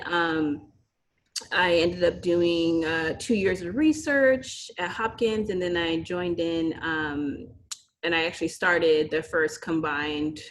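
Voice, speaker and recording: A woman fertility fellow introducing herself warmly on a webinar, eager and chatty with fillers. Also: deep voice, a little hiss or hum.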